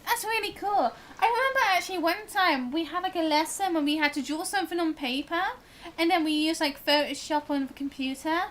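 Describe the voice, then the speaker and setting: A woman chatting casually and excitably while livestreaming a game, in a bubbly, giggly manner. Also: very high-pitched, brisk, a little hiss or hum. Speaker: live streamer. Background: game music.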